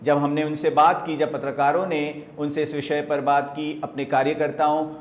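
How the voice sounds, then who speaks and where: A man with an Indian accent presenting a news report in halting phrases. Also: a little high, flat delivery. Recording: echoey room.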